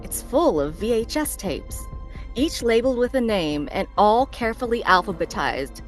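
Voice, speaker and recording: A woman's voice: on the high side, sing-song, normal speed. A woman narrator reading screenplay stage directions as dramatic scripted narration. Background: faint background music.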